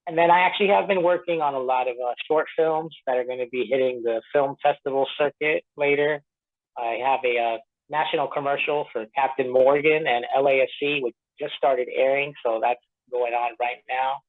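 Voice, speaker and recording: Male guest heard through a thin phone line. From Florida, medium pitch, casual conversational interview delivery. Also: steady tone, brisk. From Tampa Bay, Florida, United States; born in Puerto Rico. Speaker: actor being interviewed. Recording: tinny narrow-band phone line.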